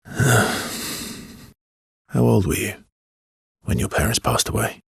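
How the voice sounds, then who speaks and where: A gentle, world-weary man on an expedition in a video game. His voice sits deep in the chest, heavily breathy and rasping, with gravelly fry. He speaks in an understated, hesitant way with dry wit. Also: expressive, slow pace, no room sound, full character voice. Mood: gentle, wistful. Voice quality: low, husky, gravelly. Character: weary, wry, gentle male expedition wanderer with secrets. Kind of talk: video game dialogue acting.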